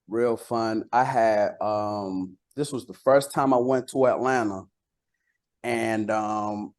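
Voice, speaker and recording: A man's voice: medium pitch, darkish tone. A man talking casually as an interview guest, a rapper using slang and repeating phrases as he tells anecdotes.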